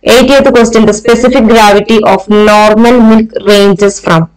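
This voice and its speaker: A woman with an Indian accent reading exam questions and answers aloud as a tutor, in a measured, formulaic way. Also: medium pitch, steady tone, darkish tone, low-quality recording.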